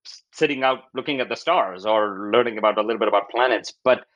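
A man's voice: on the low side, fast talker, fairly bright tone, dull audio. A man in his fifties with a Pakistani accent, an academic explaining research in an interview and often acting out quotes as he goes. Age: 50s.

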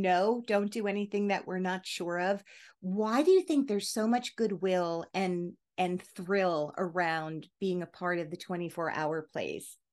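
A woman hosting a conversational interview, talking informally and at length as she builds up to her questions. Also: medium pitch. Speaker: interview host.

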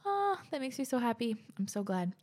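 A woman's voice: a little high, very animated, brisk, a little mellow, breathy edge. A young woman hosting a podcast, chatting casually and gushing over listener submissions. She talks in a bubbly way, says "like" a lot, and sounds a little out of breath. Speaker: podcast host.

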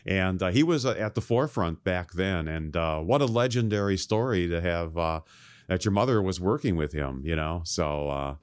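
A man's voice: low voice, expressive, normal speed, clean tone. A man of nearly seventy hosting a podcast, chatting casually with easy laughs and many fillers. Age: 60s. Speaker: podcast host. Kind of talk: casual chat.

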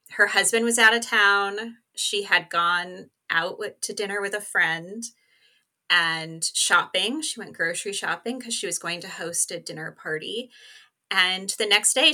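A woman's voice: mid-range voice, sharp tone. A woman speaking as a podcast guest, explaining a case in a casual, conversational storytelling way. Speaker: podcast co-host as interview guest.

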